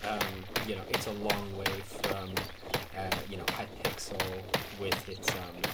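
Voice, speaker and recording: A man, sick and raspy-voiced, casually commenting on a game as he plays, rambling with many ums and likes. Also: sits low, little pitch movement, a little slow, very noisy. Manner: rambling, hesitant, lots of um and like. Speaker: gaming YouTuber. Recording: quiet voice under loud game music. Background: loud game music.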